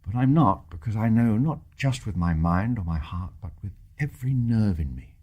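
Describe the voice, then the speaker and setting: An actor playing a devoted, bedridden English husband in a radio drama, husky, earnest and well-spoken in Received Pronunciation, brave and tender with bitter despair underneath. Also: male voice, fairly low pitch, animated, normal speed, a little mellow. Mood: loving, earnest. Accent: British Received Pronunciation. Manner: earnest, old-fashioned upper-class phrasing.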